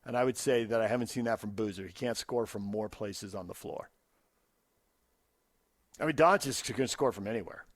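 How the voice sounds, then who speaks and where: A man's voice: fairly low pitch, normal speed, pauses often, dry recording. An American man co-hosting a sports radio show, arguing his opinions point by point in unscripted debate.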